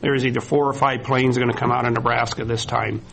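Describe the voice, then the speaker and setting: Gravelly man emceeing a ceremony. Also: mid-range voice, flat delivery, fast talker, background noise. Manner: informal, filler-heavy. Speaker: county representative, emcee. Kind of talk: ceremony remarks. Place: indoor community gathering.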